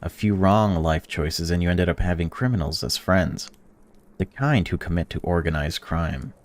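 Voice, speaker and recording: Deep-voiced young man playing a horror game. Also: normal speed. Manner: swears freely, jumps between excited outbursts and flat reading. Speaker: gaming YouTuber. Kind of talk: let's play commentary and reading aloud.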